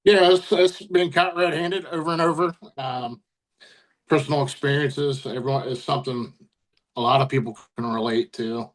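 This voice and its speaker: A man answering interview questions casually as a musician, haltingly searching for his words. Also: mid-range voice, steady tone, low-quality recording.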